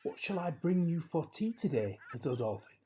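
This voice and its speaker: A man voicing a gentle, protective fisherman father in a storybook, speaking tenderly and coaxingly. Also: on the high side, sing-song, normal speed, slight background noise. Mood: playful, affectionate.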